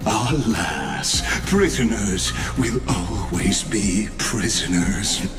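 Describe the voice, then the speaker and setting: Very slow, deep, grandiose machine mastermind. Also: male voice, big pitch swings, heavily acted. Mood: condescending calm. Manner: grandiose, theatrical, deliberate. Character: condescending, philosophical male machine mastermind, calmly godlike villain. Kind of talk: video game villain monologue. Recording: roomy echo under game music.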